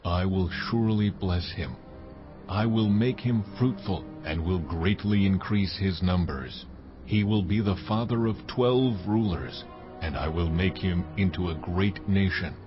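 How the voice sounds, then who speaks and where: A very low, majestic God declaims in a dramatized scripture recording. The delivery is stately and solemn. The sound is a little dull, with clear music behind it. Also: male voice, measured pace. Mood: solemn, promising. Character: almighty God, solemn, commanding, majestic male deity.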